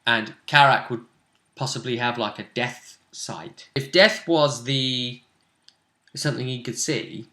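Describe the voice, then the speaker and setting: Guy game master chatting about tabletop rpg, bright forceful voice, roomy echo, stops and starts as he thinks. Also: mid-range voice, unhurried. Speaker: game master, host of a role-play vidcast. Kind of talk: casual discussion of a tabletop role-playing game. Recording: roomy, echoing room sound.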